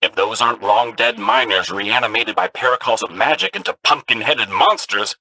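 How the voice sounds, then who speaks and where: An actor playing a chirpy, nerdy robot companion with an excitable, swooping delivery. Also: male voice, medium pitch, moderate pace, creaky, noisy background. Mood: excited.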